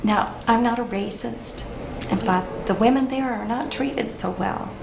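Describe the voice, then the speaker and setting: An actress playing a pushy, tactless dating counselor who gives glib advice, recorded with a roomy echo. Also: female voice, on the high side, unhurried, vocal fry, noisy background. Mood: brisk. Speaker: counselor in a session. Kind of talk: film scene acting.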